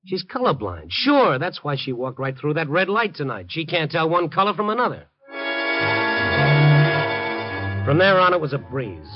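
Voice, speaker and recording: An actor playing a streetwise, protective newspaper reporter in a 1950s radio drama, talking in a colloquial, urgent way. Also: male voice, a little high, big pitch swings, fairly quick, over music. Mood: narrating. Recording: dull old radio recording.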